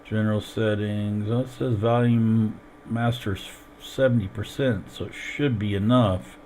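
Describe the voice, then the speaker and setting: A man's voice: on the low side, steady tone, a little slow, a little mellow, a little hiss or hum. A man streaming a farming game while sick and losing his voice, explaining each step as he plays into a headset. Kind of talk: casual gameplay commentary.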